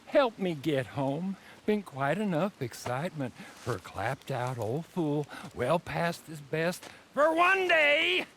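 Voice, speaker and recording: An actor playing a sly, folksy old man of the American Old West in a western video game, with a gravelly voice and a wry, theatrical drawl. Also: a little high, sing-song, slow, few pauses, pushed and bright, a little hiss or hum, heavily acted. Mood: wry, amused. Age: older. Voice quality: creaky, gravelly.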